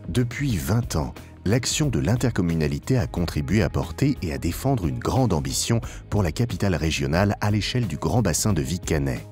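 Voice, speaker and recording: A man reading a scripted promotional documentary narration in a formal, measured way, with emphatic phrasing. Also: low voice, big pitch swings, darkish tone. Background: background music.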